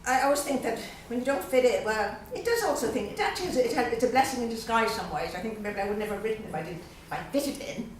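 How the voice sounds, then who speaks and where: A middle-aged Irish woman from Dublin reading her poems slowly and with pauses at a literary evening, chatting hesitantly between them. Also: on the high side, animated, slight background noise. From Dublin, Ireland. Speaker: guest poet. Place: event room at a literary gathering. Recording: very echoey room, voice far off.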